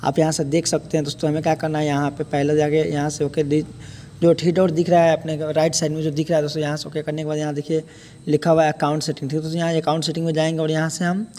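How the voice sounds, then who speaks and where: A man's voice: on the high side, monotone, racing, dark tone. A man presenting an informal step-by-step tech tutorial, repeatedly addressing his viewers as friends. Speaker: host of a tech tutorial channel. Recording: echoey hall-like room, faint noise.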